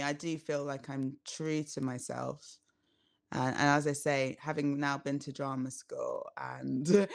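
A woman's voice: a bass voice, vocal fry. A woman speaking reflectively in a casual podcast chat, laughing between her points. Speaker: podcast guest.

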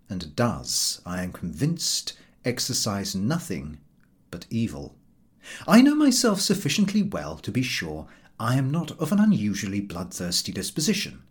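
A man narrating a literary essay as an audiobook reader, in measured, emphatic, oratorical phrasing. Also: mid-range voice, breathy edge.

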